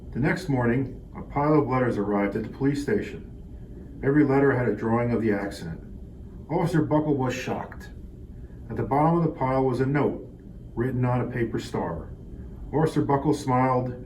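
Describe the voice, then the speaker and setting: A man with a mid-pitched voice reads a children's picture book aloud. The recording is roomy and echoey, with faint noise behind him. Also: brisk, darkish tone. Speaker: police officer reading a children's book aloud.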